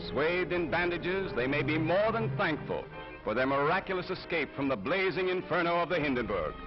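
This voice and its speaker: A man's voice: fairly high pitch, big pitch swings, moderate pace. A man reading scripted 1930s newsreel narration in a formal, declamatory way. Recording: muffled vintage 1930s newsreel soundtrack. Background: music.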